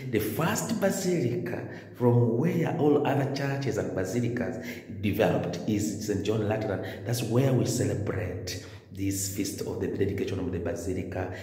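A middle-aged male priest preaches with earnest, repeated phrases, filming himself on a phone held at arm's length. His voice is dark and mellow. The stone chapel around him rings with a long, echoing reverb. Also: fairly low pitch. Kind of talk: video homily. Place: stone-walled chapel indoors.

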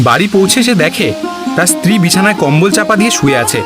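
A man's voice: high voice, lively intonation, quick pace, music in the background. A man narrating a scripted cartoon story as a storyteller.

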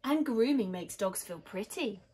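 A woman's voice: mid-range voice, lively intonation, measured pace, darkish tone, breathy edge. A woman voicing an eager, know-it-all little girl in a storybook, speaking earnestly and insistently.

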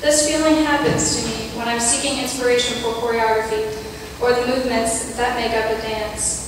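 A young woman giving an earnest, measured reflection from a church pulpit, with light self-deprecating asides. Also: a little high, steady tone, background noise. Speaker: youth speaker. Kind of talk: prepared reflection read in church. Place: large church sanctuary, at a pulpit. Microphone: pulpit mic, camera far off. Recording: echoey church hall from far off.

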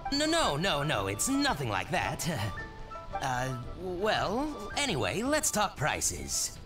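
A man's voice: fairly high pitch, wildly swooping pitch, slow pace, exaggerated voice. An actor voicing a hesitant merchant in a video game, hedging and stalling as he talks, with music playing behind. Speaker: game character.

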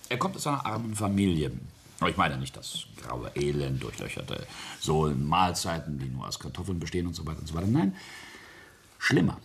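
An actor playing a middle-aged industrialist father in a radio drama, cold, bitter and deliberate, pausing pointedly as he tells his tale. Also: very animated, fairly bright tone, a little hiss or hum, exaggerated voice. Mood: sardonic. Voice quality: husky, low. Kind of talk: German radio drama acting.

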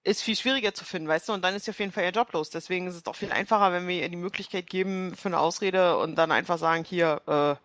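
A woman's voice: fairly low pitch, quick pace, few pauses, a bit bright, dry recording, clean studio sound. A woman improvising casual in-character dialogue as a tabletop role-player, thinking aloud hesitantly.

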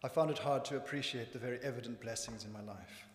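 A middle-aged man with a Zimbabwean accent, reading his personal testimony from notes at a church lectern in a measured, earnest way. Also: medium pitch. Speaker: church member giving testimony. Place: church hall with raised stage. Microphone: lectern microphone. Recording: echoey hall from lectern mic.

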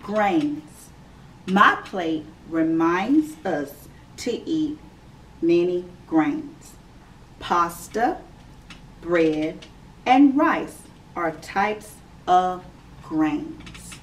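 A woman in her thirties, a preschool teacher, reading a picture book aloud to young children in a slow, clear, sing-song storytime manner from her classroom. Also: fairly low pitch, lively intonation. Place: classroom indoors. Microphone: camera a few feet away. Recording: slightly distant camera mic with faint room noise.

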